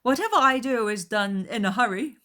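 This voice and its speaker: An amiable, impulsive young gentleman, acted by a female audiobook reader. The delivery is eager and courteous, raised in pitch and full of swings. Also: lively intonation, normal speed. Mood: breezy. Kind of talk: dramatized audiobook character voice.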